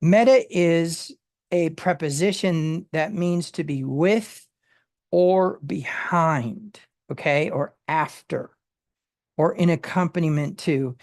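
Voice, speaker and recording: Slow-talking Bible teacher, halting and full of restarts, dry close sound. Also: medium pitch, darkish tone, no room sound. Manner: halting, many fillers and restarts while working through word meanings.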